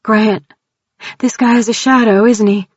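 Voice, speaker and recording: A woman voicing a caring, anxious young woman who speaks in a gentle, reassuring way. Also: a little high, fairly even intonation, unhurried, slightly rough, dry recording, pitched above the performer's own voice. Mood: concerned. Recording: dull dry audio.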